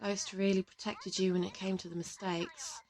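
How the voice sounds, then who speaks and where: A woman's voice: mid-range voice, moderate pace, a little mellow, close to an everyday voice. A woman playing a confident, teasing interrogator from an assassin team in an audio roleplay, affectionate and boastful. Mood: complimenting. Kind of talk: audio roleplay addressed to the listener.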